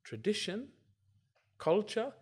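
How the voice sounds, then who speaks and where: A man explaining his view as a religious scholar in a discussion, in short, emphatic, deliberate phrases. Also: high voice, sing-song, darkish tone, slightly rough. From Britain.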